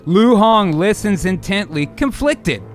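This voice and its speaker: A man with a high-pitched voice hosts and narrates an improvised radio drama. He mixes storyteller delivery with casual chat, over background music. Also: expressive, slow.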